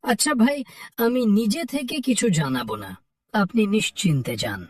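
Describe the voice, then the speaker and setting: A man's voice: high-pitched, huge pitch swings, moderate pace, far from the mic, full character voice. A man playing an easygoing village watchman and husband in a cartoon folk tale, speaking in a casual, reassuring way.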